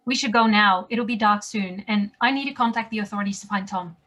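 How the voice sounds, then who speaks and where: A woman's voice: medium pitch, fairly quick, a bit bright, close to an everyday voice. A woman acting a headstrong, no-nonsense survivor, firm and curt, in a table read of a screen drama. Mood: firm, defiant. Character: Lisa, headstrong, no-nonsense woman searching for someone missing.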